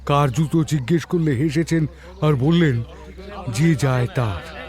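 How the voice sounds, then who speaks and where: A man playing an older, gloomy and resigned village man in an audio drama, speaking haltingly with weary pauses. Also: a little high, a little mellow, soft background music, close to an everyday voice. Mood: grave, uneasy.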